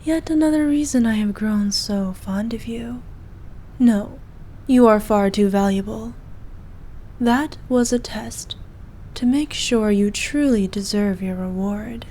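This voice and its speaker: A woman playing a regal, possessive evil queen who dotes on her knight in an intimate ASMR roleplay, speaking formally and softly, at times in breathy whispers. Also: a little high, slight background noise. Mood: teasing, fond. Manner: regal, slow, archaic formal speech.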